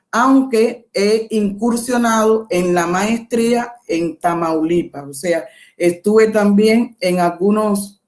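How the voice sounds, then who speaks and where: A middle-aged woman from Cuba with a Cuban Spanish accent, giving an academic webinar lecture in a measured, explanatory way, with pauses and self-corrections. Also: medium pitch, a little mellow. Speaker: guest lecturer.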